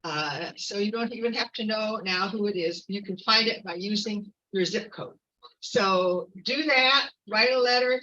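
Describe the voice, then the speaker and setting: Earnest bright-voiced woman activist. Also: on the high side, distant mic, highs cut off. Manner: earnest, halting with many fillers and restarts. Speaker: activist speaker. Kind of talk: informal public talk.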